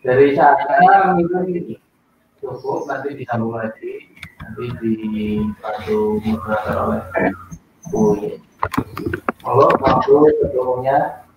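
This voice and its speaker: Man host, very echoey room, far from mic, loud background noise. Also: medium pitch, takes it slowly, long pauses. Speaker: host teacher.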